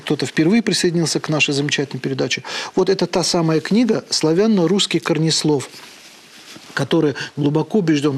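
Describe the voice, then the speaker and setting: A man hosting a program, giving an earnest lecture on words. He often hesitates with "uh" and slows down to read quotations solemnly. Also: on the high side, slightly rough. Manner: earnest and deliberate, with frequent hesitant "uh" fillers. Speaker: host of a program on language. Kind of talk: lecture-style talk on words, reading quotations aloud.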